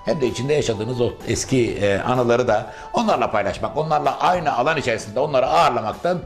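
A man's voice: medium pitch, lively intonation, brisk, soft background music. A man hosting a business interview, asking formal, long and flowing questions.

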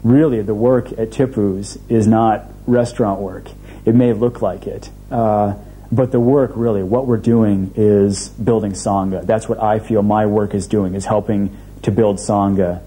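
Low, dark-voiced sincere man. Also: fairly even intonation, a little slow, slight background noise, low-quality recording. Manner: sincere, hesitant. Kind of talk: documentary interview.

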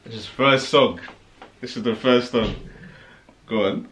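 A young man from Congo, a fiancé joining a casual vlog, teasing in relaxed banter while talking to a camera in a bedroom. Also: mid-range voice, measured pace. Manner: teasing, laid-back banter. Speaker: guest, fiancé. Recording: loud music playing behind.

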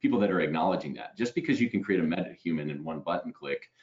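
Deep-voiced headset host on a call. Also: male voice, expressive, fast, a little mellow. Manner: conversational, tags lines with "right?". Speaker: webinar host. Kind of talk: casual video call interview. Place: home room. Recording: slightly dull video call audio.

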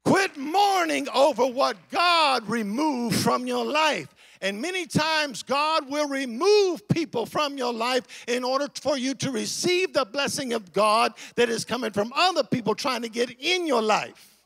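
High-pitched gravelly male preacher. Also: animated, measured pace, bright, forceful tone, no echo. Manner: declamatory, emphatic, repeats phrases for effect. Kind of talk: impassioned sermon to a congregation.

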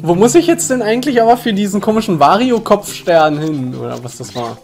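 A man's voice: high-pitched, expressive, fairly quick. A young man streaming a video game, joking and punning casually through a headset mic. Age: young adult. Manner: playful punning, laughs often, sudden shouts at the game. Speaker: let's play streamer. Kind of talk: casual gaming livestream chat. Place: small room indoors. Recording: game music behind.

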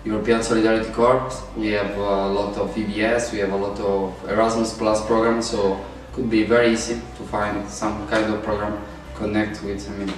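Young man, low flat voice, plain with fillers, distant mic in an echoey room. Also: normal speed, hardly any pauses. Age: young adult. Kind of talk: interview answer. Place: small indoor room. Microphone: far camera mic.

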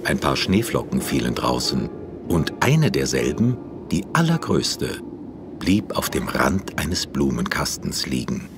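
An actor narrating a fairy tale audio drama in a measured, expressive, literary manner. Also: male voice, extremely low pitch, background noise, exaggerated voice. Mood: storytelling.

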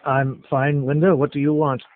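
A man's voice: a little high, little pitch movement, moderate pace. Blunt man on a tinny phone line. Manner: blunt, questioning, skeptical. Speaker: call recipient stringing scam callers along. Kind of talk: phone conversation.

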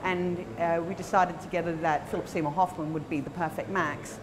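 An Australian woman in her forties talking conversationally in an interview as a film producer, in an animated, emphatic, informal manner. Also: on the low side, fairly quick, noisy background. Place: indoor room by a bright window at a festival. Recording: chatter of people in the background.

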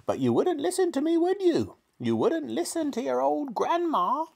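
A reader voicing a scrawny, bad-tempered old grandmother who shouts boastfully and scornfully in a children's storybook. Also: high-pitched, big pitch swings, moderate pace, creaky, pitched above the performer's own voice, heavily acted. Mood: gloating, boastful.

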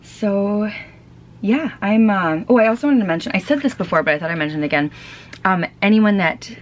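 A woman's voice: medium pitch, a little fast. A young woman vlogging casually at home, chatty with many ums and restarts, tired and fighting off a cold. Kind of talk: casual chat. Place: home, small room. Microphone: camera close up.

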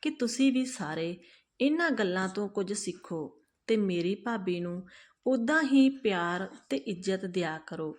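A woman's voice: medium pitch, lively intonation, a little slow, a little mellow, lightly acted. A woman voicing a cheerful young married daughter in a read-aloud family story, earnest and affectionate.

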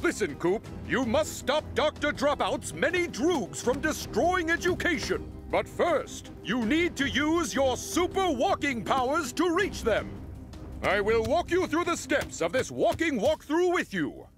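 Very high, bombastic cartoon superhero mentor. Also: male voice, very animated, measured pace, over music, full character voice. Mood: heroic urgency. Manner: over-the-top, cheesy, alliterative. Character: bombastic male psychic superhero mentor, adoring and verbose.

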